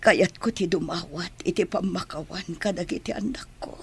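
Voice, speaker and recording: A woman playing an ailing, remorseful mother in a radio drama, with a weak, breathy, husky voice and an apologetic manner. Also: fairly low pitch, moderate pace, slight background noise, close to an everyday voice. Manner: frail, apologetic. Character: ailing, remorseful mother who left her family.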